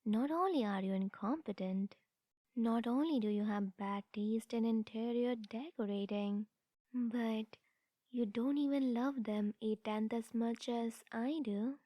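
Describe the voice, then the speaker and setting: A woman playing a possessive, scheming yandere sister in a comedic ASMR audio roleplay, breathy and often near a whisper, with a haughty, condescending sweetness. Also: on the high side, mellow, no echo. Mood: haughty, boastful. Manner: haughty, deliberate, cooing to the listener.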